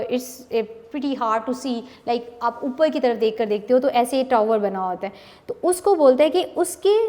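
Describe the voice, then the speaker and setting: A Pakistani woman with a high voice walks through a poem for her students, switching between Urdu and English. She speaks unhurriedly and stretches out each verse as she reads it aloud. Her voice rings with a long reverb, as if recorded in a bare hall. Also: a little mellow. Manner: explains line by line, mixing Urdu and English; slow, reads poem lines drawn out. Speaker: English teacher. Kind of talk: online lecture explaining a poem.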